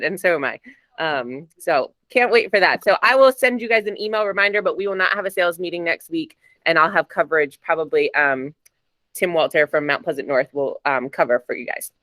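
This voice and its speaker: Raspy-voiced woman hosting a meeting. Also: fairly low pitch, a bit bright, no room sound. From New Jersey. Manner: chatty, upbeat, frequent ums. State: raspy this morning. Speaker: sales meeting host. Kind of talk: casual meeting announcements.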